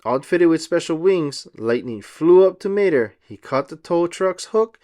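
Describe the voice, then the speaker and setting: A man reading a children's storybook aloud as narrator, in an expressive style. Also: a little high, a little slow.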